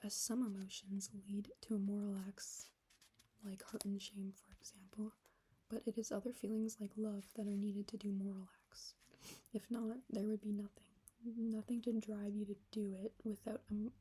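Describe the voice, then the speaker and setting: A young adult woman with a breathy, whispery voice, reading her own reflective writing aloud to viewers in a subdued monotone. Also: medium pitch, normal speed. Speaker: vlogger.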